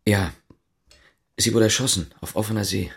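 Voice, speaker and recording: A man's voice: fairly low pitch, normal speed, gravelly, fairly bright tone. An actor playing a guarded East German policeman in a radio drama, terse and matter-of-fact. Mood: sober, controlled. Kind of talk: radio drama acting with inner monologue.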